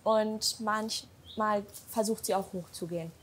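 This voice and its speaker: A woman with a mid-pitched voice explains things casually, the way a client describes a problem. The talk is spontaneous and conversational, with faint ambient noise in the background. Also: steady tone, a little slow. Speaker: horse owner, client.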